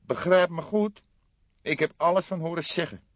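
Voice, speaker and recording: A man voicing a nervous, evasive café owner and informant with a hoarse, anxious delivery in an audiobook. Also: a little high, normal speed, no room sound, lo-fi sound, pitched above the performer's own voice, lightly acted. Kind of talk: audiobook character dialogue.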